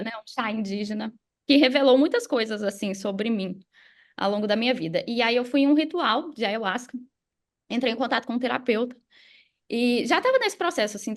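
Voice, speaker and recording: A woman's voice: fairly high pitch, expressive, fast. A woman with a Brazilian accent telling a personal story casually as a podcast guest, conversational and engaging listeners directly. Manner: conversational, engages listeners with questions and tag words.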